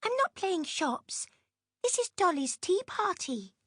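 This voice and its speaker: A small girl in a storybook reading, played by a grown woman. The voice sits very high and sounds childlike and earnest, in a dry studio sound. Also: sing-song, takes it slowly, a bit bright, no echo, exaggerated voice. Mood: cheeky, indignant. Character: little girl, cheeky then tearfully questioning, daughter.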